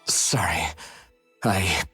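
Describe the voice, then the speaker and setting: A man playing an earnest, protective young swordsman hero in a video game, with a halting way of talking. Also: sits low, sharp tone, breathy edge, full character voice. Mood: awkward, relieved. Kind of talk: dramatic video game acting. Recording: music under the voice.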